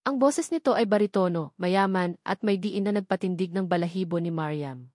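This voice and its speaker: A woman's voice: fairly low pitch, a little fast, darkish tone, no echo, studio quality. A woman with a Filipino accent reading a scripted romantic drama as its storyteller. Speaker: narrator.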